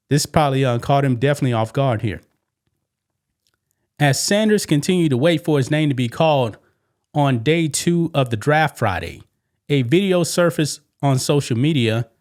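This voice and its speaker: A man with a medium-pitched voice hosts a sports commentary show. He talks through the news casually and offers his own opinions. His delivery is conversational, peppered with "man" and rhetorical questions. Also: darkish tone.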